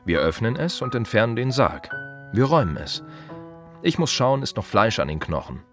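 An actor playing a plain-spoken, wry gravedigger in a radio play monologue, confiding in short halting phrases with dry irony. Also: male voice, fairly low pitch, big pitch swings, over music. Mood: grim, dry.

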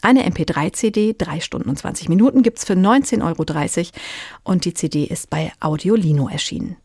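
A woman's voice: on the low side, expressive, fairly quick, creaky, a little mellow, husky, dry recording, clean studio sound. A woman hosting a radio review show, presenting and chatting with a reviewer. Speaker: radio host.

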